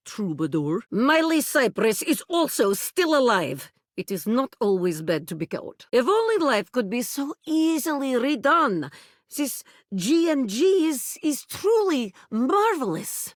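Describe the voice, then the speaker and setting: High-voiced, bombastic warrior. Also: female voice, no echo, clean studio sound. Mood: earnest. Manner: boastful, formal, earnest, drops articles. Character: proud, bombastic female warrior who speaks of herself in third person. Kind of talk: video game fantasy role-play acting.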